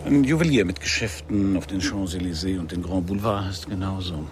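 An actor playing a wry, unruffled private detective in a radio crime drama, narrating and talking in a dry, polite way. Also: male voice, deep voice, a little fast, noisy background, lightly acted.

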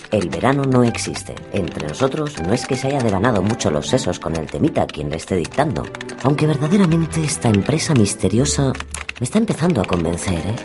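A man's voice: medium pitch, natural voice. An actor playing a wry, suspicious blogger, narrating in mock-formal scripted radio style to his followers. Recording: music behind the voice.

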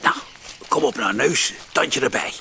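An actor playing a brash, impatient burglar in a Dutch radio play, gruff and scolding, with coarse slangy talk. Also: male voice, mid-range voice, expressive, measured pace, bright, forceful tone, exaggerated voice. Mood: irritated, cocky. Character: bossy, brash, impatient burglar leading a break-in. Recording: noisy old radio play recording.

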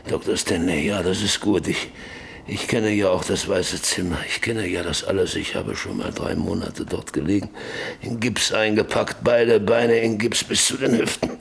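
An actor playing a middle-aged farmer and father, troubled and defensive, with a halting, brooding delivery in a German radio play. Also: sits low, slight background noise. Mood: brooding, weary. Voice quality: gravelly, husky.

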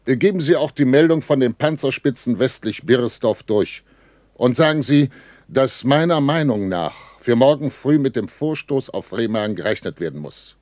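An actor playing a weary, sceptical army captain who gives clipped orders with dry irony in a 1960s German radio play. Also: male voice, mid-range voice, lively intonation, moderate pace, a little mellow, dry recording, natural voice. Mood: urgent, commanding.

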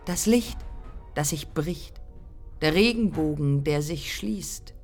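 A woman's voice: low-pitched, expressive, slow pace, darkish tone, in a large hall, full character voice. An actress playing a dreamy, stubborn 1920s woman aviator in a poetic radio drama, with terse, lyrical, insistently repeated phrases. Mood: wondering. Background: music under the voice.

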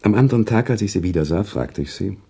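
An actor playing an earnest, sentimental author who tells a story in a radio play, with deliberate, expressive literary delivery. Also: male voice, fairly low pitch, creaky, husky, heavily acted. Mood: moved. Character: male author narrator recounting a sad love story, earnest and sentimental. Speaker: narrator.

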